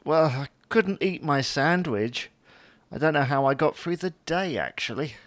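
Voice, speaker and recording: A man's voice: on the high side, animated, a little slow, fairly bright tone, no echo. A man playing a whiny, sulky grown-up husband who acts like a big baby in a domestic audio comedy. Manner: plaintive, babyish, sulking.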